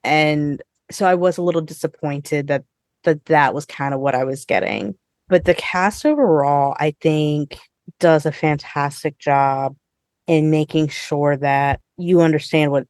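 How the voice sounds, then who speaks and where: Low-voiced woman podcast host. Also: a little mellow, no room sound, studio quality. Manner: conversational, frequent "like". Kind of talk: casual chat.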